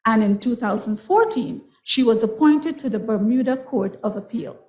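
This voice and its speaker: A woman's voice: a little high, moderate pace, a little mellow, poor audio. A woman reading out a formal citation in a ceremonial, carefully enunciated manner. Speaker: university lecturer reading a citation.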